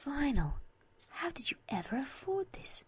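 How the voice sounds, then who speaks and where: A woman voicing a refined, emotional female pony musician in a soft, breathy, heartfelt manner. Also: sits high, big pitch swings, normal speed, voice pushed up. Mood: moved, awed. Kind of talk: fan fiction character voice.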